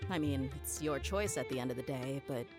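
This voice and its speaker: A woman's voice: a bass voice, a little fast. A woman voicing an easygoing, teasing adult woman in a video game, laughing easily. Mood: relaxed. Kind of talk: game voice acting. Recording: studio voice over game music.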